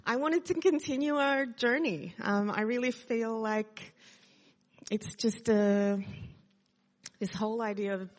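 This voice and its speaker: A woman's voice: on the high side, takes it slowly. A woman in her thirties from Sydney with an Australian accent, sharing her testimony in a chatty way with many fillers. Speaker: church speaker sharing testimony. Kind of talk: casual testimony talk to a congregation. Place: church hall. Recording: long hall reverb.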